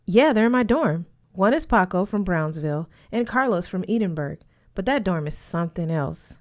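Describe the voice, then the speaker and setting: A woman's voice: on the low side, expressive, normal speed, natural voice. A woman voicing a chatty, easily delighted teenage boy at boarding school, casual and eager. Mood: gossipy.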